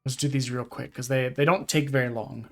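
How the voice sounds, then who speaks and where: Male streamer, congested, gravelly, casual rambling chat. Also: medium pitch, brisk, soft background music. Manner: relaxed, rambling, self-deprecating. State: stuffy, congested nose. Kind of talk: casual live-stream chat while gaming.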